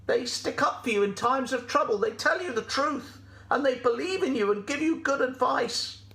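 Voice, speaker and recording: A loyal, motherly nanny goat from a storybook acted out by a storyteller, pitched high. She speaks earnestly and emphatically, with a bleating stammer, in an echoey room. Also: animated, moderate pace, gravelly, fairly bright tone, full character voice. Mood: kind, earnest.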